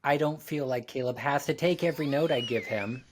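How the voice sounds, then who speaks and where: A man with a medium-pitched voice talks as a podcast co-host. He is reflective and earnest, phrasing his thoughts in a measured but casual way. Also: slight background noise.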